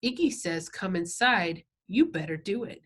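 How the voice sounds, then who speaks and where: A woman voicing a brash, slangy biker's girlfriend in a story, pestering and excitable. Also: on the low side, normal speed, a little hiss or hum, natural voice. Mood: warning. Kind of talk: audiobook character dialogue.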